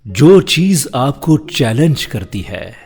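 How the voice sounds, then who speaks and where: A middle-aged man delivering scripted voice-over narration in a theatrical, measured and deliberate manner. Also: medium pitch, sing-song, darkish tone, slightly rough, faint music behind. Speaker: voice-over artist and actor.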